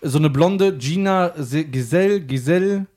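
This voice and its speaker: A man's voice: a little high, unhurried, a little mellow. Teasing male podcast co-host. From southwest Germany. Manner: teasing, storytelling banter. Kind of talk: casual podcast chat.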